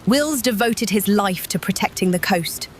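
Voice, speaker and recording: A woman's voice: medium pitch, lively intonation, normal speed, a little hiss or hum, close to an everyday voice. A woman playing a loyal adventurer companion in a fantasy game, direct and earnest. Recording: music behind voice.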